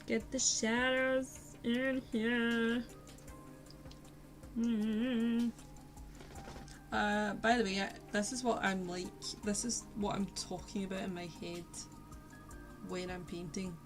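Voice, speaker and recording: Young woman, posh Glasgow accent, rambling self-mocking streamer chat, mid pitch. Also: flat delivery, takes it slowly, long pauses. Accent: posh Glaswegian Scottish. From Scotland. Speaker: art streamer. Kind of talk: casual live-stream chat while painting. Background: faint lo-fi music.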